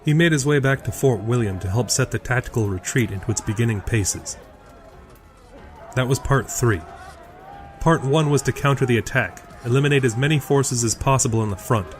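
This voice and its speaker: A man's voice: medium pitch, normal speed, a little hiss or hum. A man reading scripted narration for a fantasy audio drama.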